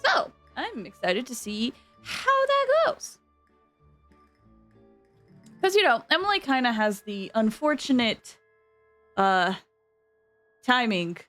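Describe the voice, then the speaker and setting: A woman's voice: fairly high pitch, animated, slow pace, long pauses, fairly bright tone, soft background music. A woman hosting a game livestream, chatting casually and informally with viewers, often drifting into asides.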